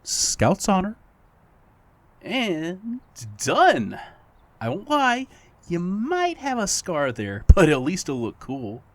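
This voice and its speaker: Audio drama role for an actor: mischievous non-human companion, chuckling and needling, mid-pitched with very animated delivery. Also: slow pace. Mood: teasing. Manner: teasing, chuckling, exaggerated. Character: playful petty non-human best friend, teasing and theatrical.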